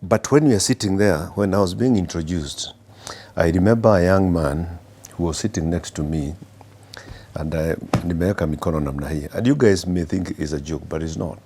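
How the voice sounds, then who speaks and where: A middle-aged man from Kenya with a Kenyan accent, guest on an interview podcast, telling anecdotes slowly with many fillers and restarts. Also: sits low, dark tone, a little hiss or hum. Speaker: guest executive.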